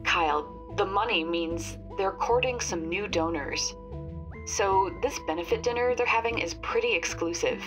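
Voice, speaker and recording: A woman's voice: medium pitch, normal speed, music in the background, natural voice. A woman playing a helpful, slightly old-fashioned informant in an audio fiction podcast, chatty and confiding.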